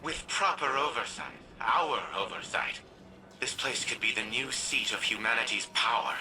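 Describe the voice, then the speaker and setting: An actor playing a proud, scheming old scientist and grandfather in a video game, talking in a smug, measured, formal way. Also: medium pitch, music in the background, lightly acted, low-quality recording. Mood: grandly persuasive.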